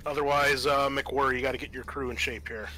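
A man's voice: medium pitch, steady tone, a little fast, sharp tone. A man running a tabletop roleplaying game as game master, talking casually to his players.

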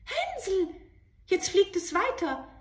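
A woman puts on an innocent little child from a fairy tale. The voice is high, eager and excited, exclaiming with wonder in a roomy space. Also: takes it slowly, heavily acted. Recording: roomy home recording.

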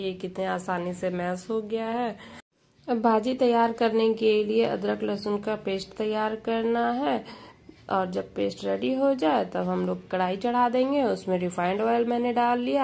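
A woman with a slightly creaky voice, presenting a recipe in voice-over as a home cook. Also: mid-range voice, darkish tone.

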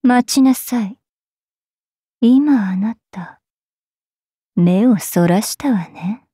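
A woman's voice: medium pitch, extremely slow, mellow, slightly rough, no echo, full character voice. A commanding young noblewoman from a game. She scolds in an imperious, haughty manner, close and dry on the mic. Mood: stern, threatening. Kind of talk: game character acting.

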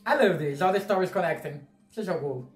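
Brazilian-accented man in his 30s, excitable reaction host, casual cursing, earphone mic in a roomy bedroom. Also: high voice, fast talker, faint music behind. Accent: Brazilian Portuguese. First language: Portuguese. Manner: excitable, gushing, swears casually, gestures widely. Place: small bedroom, indoors. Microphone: wired earphone mic.

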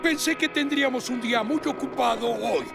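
Jefe de bomberos mayor, gruñón y presumido. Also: male voice, very high-pitched, moderate pace, gravelly. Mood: puzzled. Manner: blustering, flustered, self-important. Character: fussy pompous older male fire station chief. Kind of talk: Latin American Spanish cartoon dub. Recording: voice over loud cartoon music.

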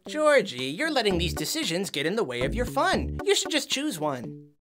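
A woman's voice: mid-range voice, wildly swooping pitch, moderate pace, over music. A woman voicing a small fuzzy puppet friend in a kids' show, patient, sensible and encouraging as she explains things. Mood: helpful. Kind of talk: children's puppet show dialogue.

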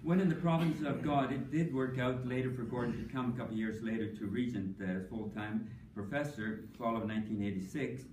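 An older man giving a prepared tribute speech at an academic conference, measured and dry-humored, with frequent ums. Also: medium pitch, noisy background. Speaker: panelist speaking at an academic tribute session. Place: conference hall. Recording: echoey hall, voice from a distance.

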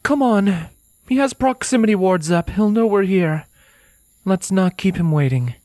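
A woman plays a grown unicorn mare in an audio storybook, weary and uneasy. Her soft, mellow voice moves expressively, with a gentle, reluctant manner and a dry, close sound. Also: mid-range voice, lively intonation, measured pace, no room sound, lightly acted. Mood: resolved. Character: weary, nervous adult female unicorn, gentle.

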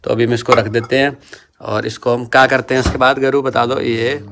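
A man's voice: medium pitch, little pitch movement, moderate pace, vocal fry, background noise. A man chatting playfully while filming a home cooking vlog with his phone, teasing as he goes. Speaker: vlogger. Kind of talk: casual cooking vlog chat.

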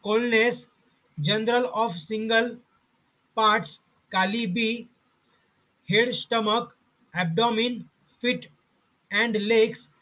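A man slowly reading out homeopathy study notes in short, halting fragments. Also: sits high, fairly even intonation, pushed and bright, poor audio.

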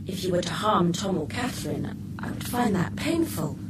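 An actress playing a poised, precise artificial intelligence with a sly streak, speaking formally and exactly in a radio drama. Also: female voice, fairly low pitch, animated, lightly acted. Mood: composed, cool. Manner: formal, measured, exact phrasing. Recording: roomy, slightly distant, clear background noise.

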